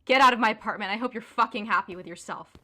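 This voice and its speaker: A woman's voice: mid-range voice, quick pace, bright, forceful tone. A woman acting in an audio drama as a hurt, furious young girlfriend, sarcastic and biting as she confronts her cheating boyfriend. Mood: bitter, sarcastic.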